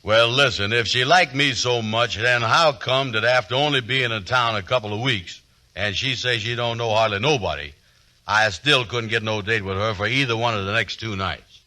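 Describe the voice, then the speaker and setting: A man acting a slow-witted, boastful, lovestruck fellow with folksy, ungrammatical speech in 1940s radio comedy. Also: medium pitch, sharp tone. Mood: lovestruck, puzzled. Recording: 1940s radio broadcast recording.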